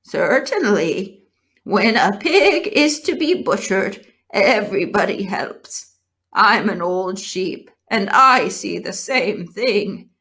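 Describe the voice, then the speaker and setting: A woman's voice: medium pitch, lively intonation, slow pace, a bit bright. A woman voicing an old female sheep in a storybook, blunt, gossipy and unsentimental, with a drawling matter-of-fact manner. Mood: blunt, grim.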